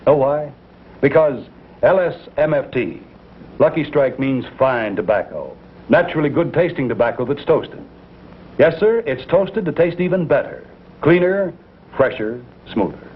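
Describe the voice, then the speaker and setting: Male American pitchman, mid-range husky voice, emphatic folksy 1950s sales read, narrow tinny recording. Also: lively intonation, a little slow. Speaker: commercial pitchman.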